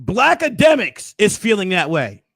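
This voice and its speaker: A hoarse man hosting a casual recap, hyping things up with preacher-like flourishes. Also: sits high, wildly swooping pitch, unhurried, a bit bright, no echo, studio quality. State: hoarse, losing his voice. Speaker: host.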